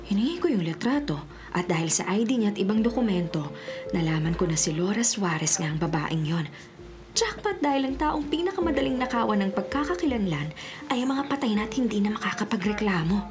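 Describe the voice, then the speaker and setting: A woman's voice: mid-range voice, expressive, a little fast, natural voice. An actress playing a cunning female forger who is posing as someone else, acting and narrating in a Filipino radio drama. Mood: sly, confiding. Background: dramatic music.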